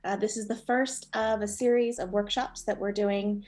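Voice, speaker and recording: A woman's voice: mid-range voice, fairly even intonation, a little mellow. Friendly woman hosting a workshop. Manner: friendly, halting with frequent uhs. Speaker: workshop host.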